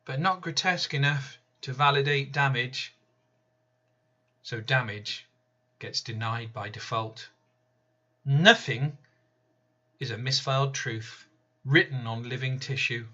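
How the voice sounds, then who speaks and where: A man reading his own poem aloud in a slow, deliberate, measured way. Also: medium pitch, bright, forceful tone, muffled recording. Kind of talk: spoken-word poetry reading.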